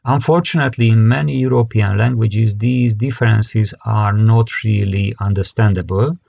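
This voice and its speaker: A man with a Hungarian accent giving an academic conference lecture in halting, hesitant phrasing that often repeats words. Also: on the low side, fairly even intonation, gravelly, darkish tone, dry recording, lo-fi sound. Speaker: conference presenter, career guidance expert.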